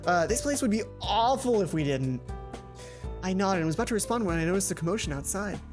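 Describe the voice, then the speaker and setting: A woman's voice: mid-range voice, animated, fast talker, over music. A woman hosting a let's play, reading a visual novel aloud with casual, playful asides. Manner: playful asides, self-correcting.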